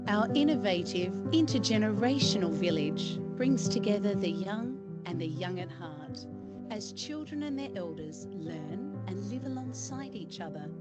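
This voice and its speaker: A woman narrating a promotional video in a smooth, polished voice-over read. Her pitch rises and falls in a lively way, set against loud background music. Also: normal speed.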